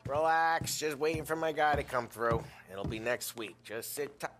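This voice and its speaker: A man's voice: on the high side, normal speed, over music, close to an everyday voice. A man playing a brash, grumbling mob enforcer in a video game, with a cocky, needling way of talking. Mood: casual, needling. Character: brash, grumbling, wisecracking male mobster enforcer. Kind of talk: dramatic video game cutscene acting.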